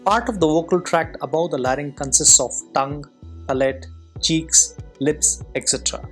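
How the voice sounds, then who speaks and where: An instructor giving an explanatory lesson in a measured, formal, clearly enunciated way. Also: medium pitch, soft background music. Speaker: soft skills instructor and public speaker.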